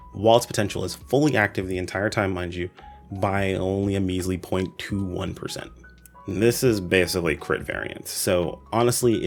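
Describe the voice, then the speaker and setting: A man giving a casual, conversational explainer commentary for a gaming video, with emphatic asides. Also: low voice. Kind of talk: casual explainer commentary on game weapon stats. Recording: voice-over with music behind.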